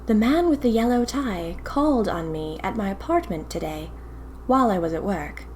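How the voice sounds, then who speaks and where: A woman's voice: mid-range voice, expressive, moderate pace, a little mellow, slight background noise, close to an everyday voice. Audio drama lead: actress playing adventure-hungry young woman of the twenties, theatrical and wistful first-person diary storytelling. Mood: musing, giddy. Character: spirited young single woman of 1920s, romantic, adventure-hungry diarist.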